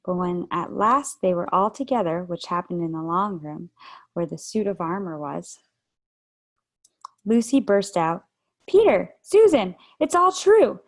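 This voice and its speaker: A woman hosting a children's read-aloud over a video call, narrating a book with steady, gentle storytelling. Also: on the low side, a little slow.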